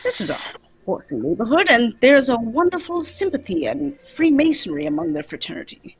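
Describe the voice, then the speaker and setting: Woman playing a high-voiced, confident male detective. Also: sing-song, a little fast, breathy edge, exaggerated voice. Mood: assured. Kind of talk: old-time radio drama script read live.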